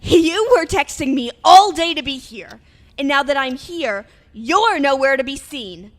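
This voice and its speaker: Woman acting a high-voiced, exasperated young daughter. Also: expressive, measured pace. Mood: annoyed. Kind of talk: fan-made anime roleplay voice acting.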